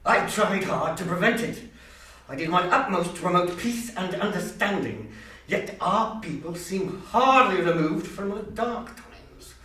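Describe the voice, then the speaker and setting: A man's voice: high-pitched, lively intonation, unhurried, sharp tone. A man auditioning as an amateur actor, moving between monologue and interview chat. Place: university room, audition being filmed. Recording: echoey room, distant mic, faint noise.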